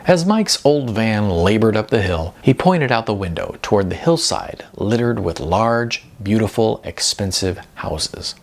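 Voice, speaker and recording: A man narrating an audiobook in a lowish voice with plenty of fry. His pitch moves in a lively way through the scripted storytelling. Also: unhurried, few pauses.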